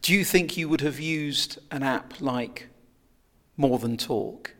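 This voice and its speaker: A man's voice: on the high side, takes it slowly, fairly bright tone. A man interviewing two international students, asking short, structured questions.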